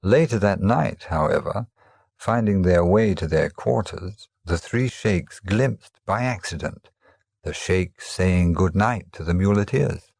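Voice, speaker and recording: A man narrating traditional teaching stories as a storyteller, in a measured, formal manner. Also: low-pitched, vocal fry, a little mellow, slightly rough, no room sound, studio quality. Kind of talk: scripted narration of teaching tales.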